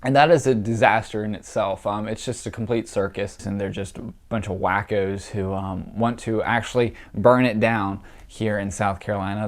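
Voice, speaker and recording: Congested, nasal young man with a gravelly low voice. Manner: opinionated, filler-heavy, scornful. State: congested, stuffy nose from allergies. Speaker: political commentator. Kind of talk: casual political commentary.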